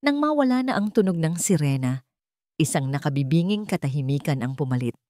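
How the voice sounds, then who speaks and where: A woman's voice: low voice, animated, brisk, warm tone, dry recording, clean studio sound. A woman with a Filipino accent narrating a scripted love story in a solemn, emotive, dramatic way.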